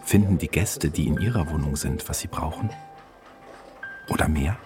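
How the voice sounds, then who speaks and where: A man's voice: very deep voice, moderate pace, music in the background. A man narrating a scripted audio guide, speaking directly to the listener.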